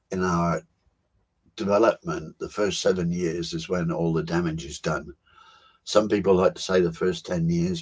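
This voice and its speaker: An older man in his seventies speaks in a very low, husky voice. He talks slowly and thoughtfully on a video call and leaves room for pauses and quiet laughs. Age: 70s. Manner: unhurried, musing, with soft laughs and pauses. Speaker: co-host. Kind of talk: reflective personal storytelling.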